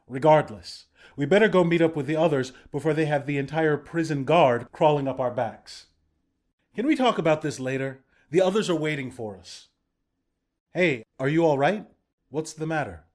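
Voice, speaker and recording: A man playing a higher-voiced, earnest young-rebellion commander. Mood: brisk, focused, then gentle, consoling. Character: battle-hardened resistance commander, protective, blunt but encouraging. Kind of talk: video game character voice lines.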